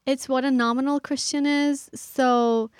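A middle-aged woman from Iran, a guest speaking earnestly and thoughtfully with pauses and fillers. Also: high-pitched, fairly even intonation, clean tone, dry recording, clean studio sound. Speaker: author and guest. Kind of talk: reflective interview talk.

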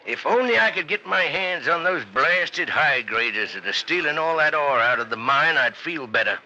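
A glum, folksy Old West mine superintendent. His voice is fairly high and very forceful, in an old radio drama. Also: animated, moderate pace, dull audio, full character voice. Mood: glum, frustrated. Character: glum, plain-spoken western mine superintendent. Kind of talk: 1950s radio western acting.

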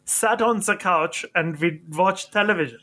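A man's voice: high voice, normal speed, bright, forceful tone. A man voicing a lazy, fat male pig-dog creature of temptation in a put-on German accent, coaxing and pleading.

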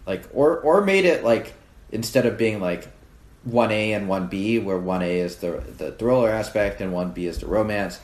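A man co-hosting a casual film-review podcast, who gives his opinions in a measured, hedging way. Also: lowish voice, lively intonation, darkish tone. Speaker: podcast co-host. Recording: echoey room.

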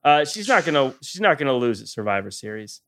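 A man's voice: mid-range voice, big pitch swings, fast, fairly bright tone. A man on a casual wrestling podcast panel, giving opinions in an emphatic, wry way. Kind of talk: casual opinionated sports debate.

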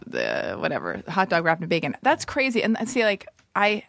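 A woman in her thirties from Los Angeles, hosting a podcast in casual, animated chat, laughing and exclaiming often. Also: medium pitch, fairly quick, no room sound. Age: 30s. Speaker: podcast host.

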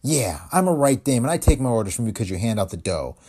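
A man voicing a tough, vengeful gangster's woman who talks in brassy slang, with a husky tone. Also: medium pitch, big pitch swings, fast, mellow. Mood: defiant.

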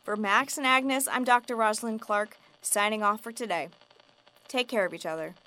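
A woman playing a doctor with a brisk, dry manner, who wraps up a session in a radio drama. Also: on the high side, pushed and bright, low-quality recording.

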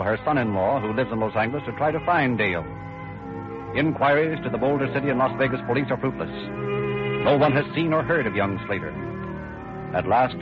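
A man announces and narrates in the dramatic style of 1930s radio. His mid-range voice is emphatic and scripted, heard over music on a muffled old recording. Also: mid-range voice.

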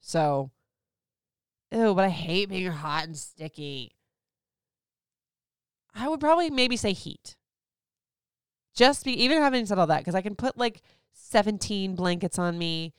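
A woman's voice: medium pitch, clear, resonant tone, no echo, clean studio sound. A woman in her thirties from Florida, chatting candidly as a host answering listener questions, rambling with lots of "like"s. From Florida, USA. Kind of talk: casual chat.

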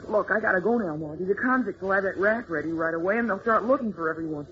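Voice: mid-range voice, quick pace, bright, forceful tone, natural voice. An actor playing a brave, eager twelve-year-old village boy in an old radio serial, earnest and determined, on a muffled noisy recording. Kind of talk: 1940s radio serial acting.

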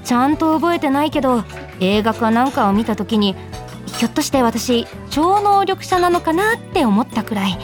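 A woman's voice: sits high, lively intonation, over music. A woman playing a cheerful, self-deprecating young office worker with a secret power in a radio drama. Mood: wry, confiding. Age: 20s. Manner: bubbly, self-deprecating, quick to retort. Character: cheerful 24-year-old female office worker, secretly psychic. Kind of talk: radio drama acting and first-person narration.

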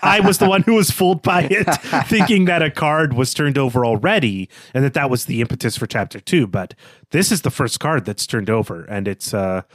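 A man co-hosting a podcast, talking casually about a book and thinking aloud in halting, informal phrases. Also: mid-range voice, no echo, studio quality. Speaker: podcast co-host. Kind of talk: casual literary discussion.

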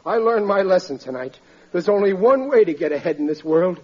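A man's voice: sits high, lively intonation, a little fast, a little mellow. An actor playing a sulky, blustering working-class family man in a 1940s-style radio sitcom, grumbling in colloquial speech. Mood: sulky, bitter. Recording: muffled old radio recording, audience behind.

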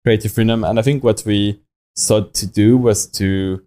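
A young man with a French accent and a low-pitched, very mellow voice. He chats informally as a podcast guest, recounting things in an easy conversational style and often quoting himself as he goes. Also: fairly even intonation, moderate pace. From France. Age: young adult. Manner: informal, frequent self-quoting in anecdotes. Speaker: podcast guest, watch company founder. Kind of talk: casual conversational storytelling.

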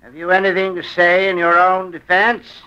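A man's voice: sits high, monotone, pushed and bright, clear, resonant tone, voice pushed up. A man voicing a stern, formal courtroom judge in a 1940s radio story. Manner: deliberate, formal. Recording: muffled vintage radio recording.

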